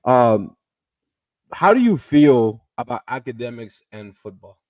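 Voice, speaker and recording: A man's voice: mid-range voice, very animated, measured pace, darkish tone, dry recording. A man with a Rio Grande Valley accent hosting a podcast, loose and joking, swearing casually and slipping in Spanish words. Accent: Texas Rio Grande Valley. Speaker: podcast host, realtor.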